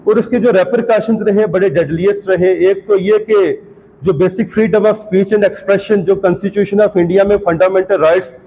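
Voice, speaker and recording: Man, mellow tone, formal legalistic speaker, press statement, wordy, light background noise. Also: sits high, steady tone, unhurried, low-quality recording. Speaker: political party speaker at press conference. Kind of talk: press conference statement, mixing Hindi and English.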